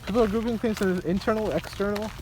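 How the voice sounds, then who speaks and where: A man's voice: sits high, steady tone, fast. A young man in casual philosophical chat on a walk, theorizing in abstract terms. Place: outdoors on a walk. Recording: loud outdoor noise.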